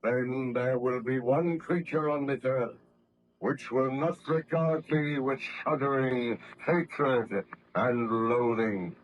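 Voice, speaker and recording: An actor playing a hideous, brooding male monster with slow, deliberate, formal speech in a 1930s radio horror play. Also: medium pitch, natural voice. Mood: brooding, bitter. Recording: dull lo-fi old radio recording.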